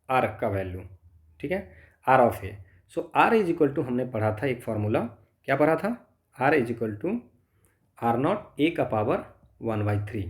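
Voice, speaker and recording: Male tutor, Indian accent, mid-range pitch. Explains problems step by step, asking and answering his own questions. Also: darkish tone. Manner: thinks aloud, poses questions and answers them himself. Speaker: physics tutor. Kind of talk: step-by-step exam problem lesson, mixing Hindi and English.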